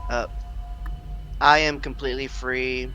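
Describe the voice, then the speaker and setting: A man's voice: medium pitch, little pitch movement, unhurried, sharp tone, soft background music. A man chatting casually as one of the players in a group game. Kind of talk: casual chat.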